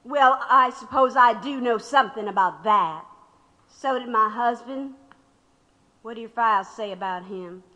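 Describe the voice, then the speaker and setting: A woman's voice: a little high, unhurried, sharp tone, in a large hall, dull audio. An actress playing a sardonic, unyielding older woman labor radical under questioning in an audio drama. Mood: proud, pointed.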